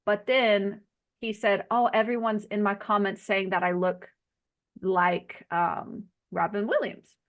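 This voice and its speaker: A woman's voice: mid-range voice, fairly even intonation, slow, dull audio. Chatty woman with Arkansas-Jersey accent. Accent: blend of Arkansas Southern and New Jersey. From Arkansas, United States. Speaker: host of an online group chat. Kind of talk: casual group video chat. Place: video call.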